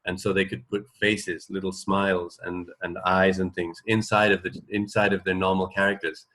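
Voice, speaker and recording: Man, low voice, radio host, casual chat, hesitant rambling delivery, recorded at home. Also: little pitch movement, normal speed, a bit bright, slight background noise.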